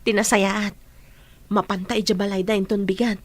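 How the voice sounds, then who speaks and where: A woman's voice: mid-range voice, flat delivery, moderate pace, lightly acted. A woman acting as a sociable, chatty young friend in a radio drama, with an eager conversational manner.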